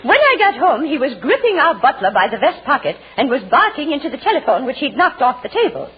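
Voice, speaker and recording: A prim, snobbish society lady with formal diction. Her high voice moves in lively swoops. The sound is muffled old 1940s radio with a faint audience. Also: fast talker. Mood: superior. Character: genteel, haughty society lady, proud of her dogs. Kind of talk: 1940s radio comedy acting.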